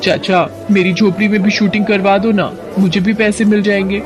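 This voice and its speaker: A woman's voice: medium pitch, flat delivery, moderate pace, over music, a crowd in the background, lightly acted. A woman playing a naive, good-hearted young village woman in a Hindi cartoon story, speaking simply and earnestly. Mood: eager, pleading. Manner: simple, chatty, deferential, earnest. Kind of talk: Hindi animated story voice acting.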